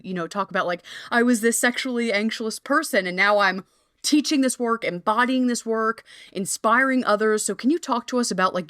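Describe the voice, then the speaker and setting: A woman's voice: medium pitch, a bit bright, no echo, studio quality. A woman in her thirties hosting a podcast, talking in a bubbly, gushing way full of casual slang. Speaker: podcast host.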